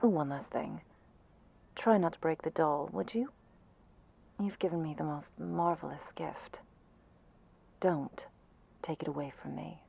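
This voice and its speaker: A woman playing a seductive, possessive vampire mistress in an intimate ASMR roleplay, breathy and whispery, with formal, languid phrasing. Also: low-pitched, measured pace, pauses often, warm tone, full character voice. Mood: cool command.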